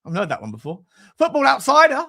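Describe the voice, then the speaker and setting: High, bright-voiced British man, animated livestream host. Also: fast talker, gravelly. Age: 40s. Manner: rambling, jokey, slangy, sweary. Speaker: host of a football fan livestream. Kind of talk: casual livestream chat, reading and answering comments.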